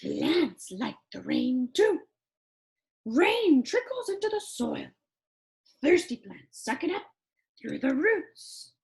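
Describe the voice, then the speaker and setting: A woman's voice: high-pitched, sing-song, takes it slowly, pauses often, darkish tone, heavily acted. A woman voicing an eager childlike puppet friend who reads a picture book to children in an exaggerated, wondering manner. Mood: gentle, wondering. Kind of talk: animated children's storybook read-aloud.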